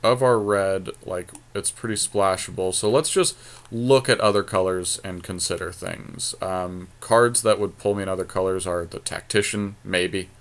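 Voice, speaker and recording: A man with a fairly low voice gives casual game commentary. He thinks aloud as he weighs options, in the relaxed conversational style of a podcast co-host. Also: normal speed.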